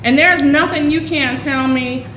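A woman's voice: sits high, a little slow. A woman in her fifties, a committee member, speaking emphatically at a public meeting and repeating her points. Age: 50s. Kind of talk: impassioned public meeting remarks. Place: large community center meeting room. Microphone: table microphone. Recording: echoey hall, faint room noise.

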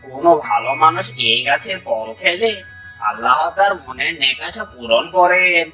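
Cartoon role: stern wise crow, extremely sharp, raised pitch, slightly off-mic over music. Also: male voice, animated, normal speed, recorded from a distance, poor audio. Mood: grateful, approving. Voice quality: cawing calls between phrases. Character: wise, stern crow guarding a magic tree. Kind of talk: Bengali moral-story cartoon voice acting.

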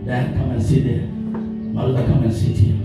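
A pastor delivers a sermon in a rolling, repetitive cadence, stacking promise upon promise as his voice climbs. He is heard from some distance in a very reverberant hall, with music playing clearly behind him. His voice sits somewhat high for a man. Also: flat delivery, unhurried. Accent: African English. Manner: preaching with rising declarations, repeated phrases. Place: church hall. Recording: echoey hall from far off with music.

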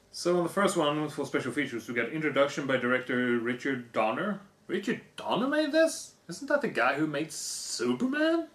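A hoarse young man on a living room couch, casually reading off movie box features. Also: fairly high pitch, fairly bright tone. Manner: reads off lists, offhand comments. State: hoarse, losing his voice. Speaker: vlogger. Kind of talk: casual unboxing chat. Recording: echoey room.

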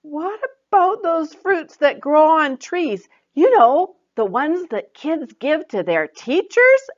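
Woman playing a helpful young fairy friend, high-pitched, very animated, coaxing, gushing, storybook style. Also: takes it slowly, dull audio, full character voice. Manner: coaxing, exclaiming, enthusiastic.

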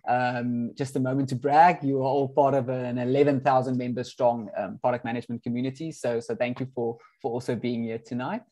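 A man whose first language is Afrikaans opens a webinar over a video call. His voice sits in an ordinary middle pitch. He speaks in a friendly, loose way, leaning on frequent ums. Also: steady tone, fairly quick, few pauses, warm tone. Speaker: host. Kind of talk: webinar introduction.

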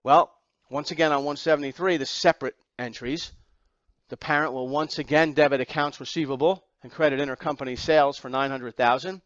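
A man's voice: a little high, fairly even intonation, brisk, no echo, lo-fi sound. A man teaching an accounting exam class, lecturing through slides step by step with emphatic repetition and rhetorical questions.

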